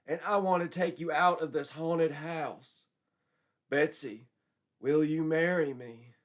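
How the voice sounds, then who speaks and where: A man's voice: a little high, slow pace, voice pushed up. A man voicing a brash, boastful young wrestler and suitor in a storybook tale with bombastic declarations. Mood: ardent bravado.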